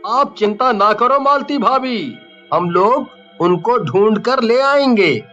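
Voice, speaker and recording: A kind young village lad, played by a man, earnest and reassuring. His very high, bright voice moves slowly over faint music in a storybook style. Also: lively intonation, lo-fi sound, full character voice.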